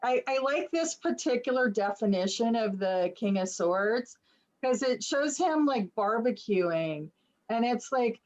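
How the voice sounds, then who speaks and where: A woman's voice: fairly high pitch, animated, measured pace, a little mellow. A woman giving a casual, conversational reading as a tarot reader over a video call, reassuring and encouraging. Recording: slightly muffled video call audio.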